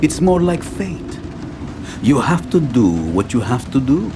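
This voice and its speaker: An actor playing a smooth-talking, crude male crime insider in a video game, with a theatrical, insinuating delivery. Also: medium pitch, very animated, a little slow, noisy background. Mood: philosophical.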